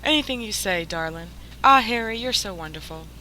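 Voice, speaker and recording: A woman's voice: mid-range voice, expressive, fairly quick, natural voice. A woman playing a flirtatious, scheming crook in a 1940s-style radio drama, coaxing and gushing. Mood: adoring, coy. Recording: faintly noisy radio drama recording.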